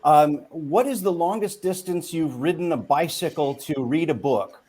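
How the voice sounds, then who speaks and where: A man interviewing a guest in a relaxed, enthusiastic way, with many fillers and quick questions. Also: on the high side, breathy edge. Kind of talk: casual chat.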